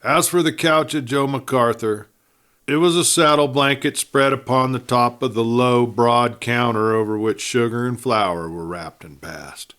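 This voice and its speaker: A man's voice: medium pitch, a little slow, fairly bright tone. Man narrating a western, folksy storyteller. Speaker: audiobook narrator and host.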